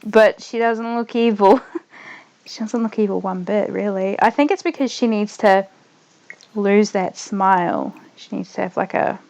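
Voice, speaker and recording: A young woman with a New Zealand accent tinged slightly Australian, chatting loosely as a streamer while she plays, trailing off quietly as she concentrates. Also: mid-range voice, measured pace, vocal fry. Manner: rambling, trails off softly when focused on the game. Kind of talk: casual gaming livestream chat.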